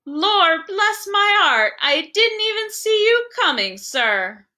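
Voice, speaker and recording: A woman's voice: extremely high pitch, big pitch swings, takes it slowly, sharp tone, pitched above the performer's own voice, heavily acted. A woman voicing a startled but polite working man in a storybook reading. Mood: startled, apologetic.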